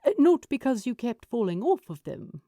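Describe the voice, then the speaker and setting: A woman's voice: fairly high pitch, big pitch swings, normal speed, mellow, no echo, studio quality. A woman voicing a polite, fussy, apologetic male angel in a fan-made audio story, speaking hesitantly. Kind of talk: multi-voice fan fiction audio drama.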